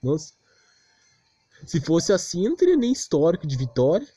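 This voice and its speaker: A man's voice: on the high side, wildly swooping pitch, normal speed, mellow, breathy edge, dry recording. A Brazilian man ranting casually and unscripted as a gaming video creator, defensive and exasperated, on a close, cheap-sounding mic. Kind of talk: casual unscripted rant over game footage.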